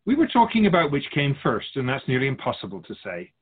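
Radio drama spirit guide, acted by a man: wry, didactic sage, husky and lively in pitch, narrow telephone-like sound. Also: quick pace. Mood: measured, instructive. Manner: didactic, wry, formal phrasing. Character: Keeper of Souls, wise, wry otherworldly male spirit guide. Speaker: spirit teacher answering questions. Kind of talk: scripted radio drama dialogue, expository.

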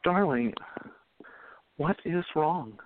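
A man playing a gentle, respectful young 1920s man who reassures his sweetheart, in an amateur radio play. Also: on the high side, animated, extremely slow, background noise. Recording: tinny phone-like audio.

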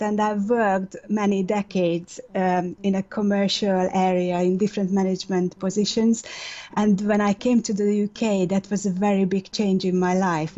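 A middle-aged woman from Hungary speaks with a Hungarian accent, thoughtful and full of small pauses. Her voice is warm and mellow. It comes through a muffled, lo-fi line. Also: medium pitch. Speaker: guest. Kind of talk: radio interview.

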